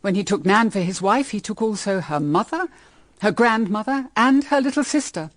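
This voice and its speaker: A woman's voice: medium pitch, normal speed, dry recording, close to an everyday voice. An actress playing a proud, dutiful middle-aged mother in a period radio drama, with an earnest, restrained, wistful manner. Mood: proud, burdened.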